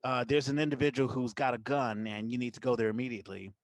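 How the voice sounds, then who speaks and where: A man hosting a podcast, chatting casually about the news in a conversational, somewhat halting way. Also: mid-range voice, fairly even intonation, no room sound. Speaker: podcast host.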